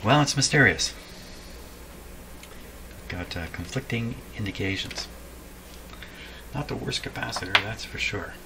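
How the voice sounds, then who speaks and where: A man hosting a hands-on repair demonstration, thinking aloud casually in an unhurried, musing way with dry humor. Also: fairly low pitch, lively intonation, gravelly, very noisy. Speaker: host of a radio repair video. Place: indoor home repair workshop.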